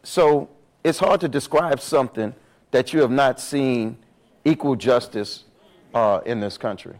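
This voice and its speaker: A man with a loud voice speaking on stage as a panelist, making emphatic points and repeating words as he builds his argument. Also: mid-range voice, a little slow. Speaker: panelist on justice reform. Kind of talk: impassioned panel talk. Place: on stage at a live event.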